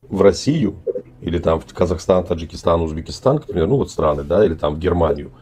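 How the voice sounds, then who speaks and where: A man's voice: low-pitched, fast, darkish tone, husky. A middle-aged man on a webcam video chat in a room, asking questions with a feigned naive manner. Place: indoor room.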